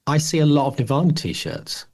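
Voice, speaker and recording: A man's voice: fairly high pitch, big pitch swings, moderate pace, gravelly, a little mellow, slightly rough. A man in his forties co-hosting a podcast, casually rambling through his opinions and thinking aloud with frequent false starts. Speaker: podcast co-host.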